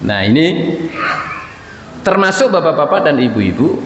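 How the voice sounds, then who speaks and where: A man preaching informally to a congregation in a conversational, joking way, putting questions to his listeners. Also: mid-range voice. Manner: recites short Arabic quotations. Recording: muffled, noisy, echoey hall sound.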